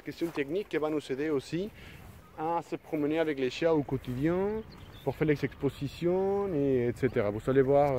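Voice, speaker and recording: A man in his thirties talks through a dog-training lesson in a grassy park, recorded on a handheld phone. He speaks in a loose, hesitant way, stopping and restarting his sentences. Faint outdoor noise sits behind his mid-pitched voice. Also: a little mellow. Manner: hesitant, many restarts and fillers. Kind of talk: casual spoken tutorial. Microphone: phone held at arm's length.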